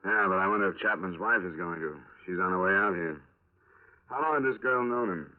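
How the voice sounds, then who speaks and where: A man acting a brisk, businesslike insurance investigator in a 1950s radio drama, clipped and matter-of-fact. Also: low-pitched, natural voice. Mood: probing. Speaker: lead actor and narrator of a radio crime drama. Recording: narrow-band vintage 1950s radio recording.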